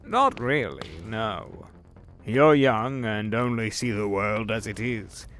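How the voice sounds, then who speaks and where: A man voicing the Devil as a sly, smooth-talking businessman in an audio drama, drawling and knowing. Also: lowish voice, slow pace, a bit bright. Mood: musing. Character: the Devil posing as suave rich businessman, middle-aged man. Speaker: voice actor. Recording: with background music.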